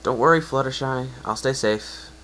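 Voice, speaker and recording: A man's voice: medium pitch, normal speed, fairly bright tone, a little hiss or hum, pitched above the performer's own voice, close to an everyday voice. A man voicing an easygoing, considerate young male pony with friendly, reassuring speech in a fan story reading.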